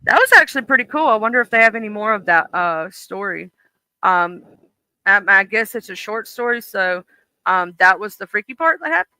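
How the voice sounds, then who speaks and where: A livestream host who reads a horror story aloud in a steady narrating voice, then chats casually with viewers, with many fillers and restarts. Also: mid-range voice, normal speed, clear, resonant tone, no room sound, poor audio.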